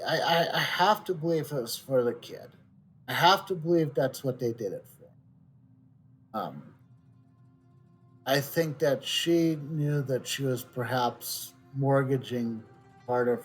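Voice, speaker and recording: A male streamer comments casually on the game he is playing. His delivery is very slow, pensive and halting as he reasons aloud. Faint game music sits behind him. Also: medium pitch. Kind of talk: casual live playthrough commentary.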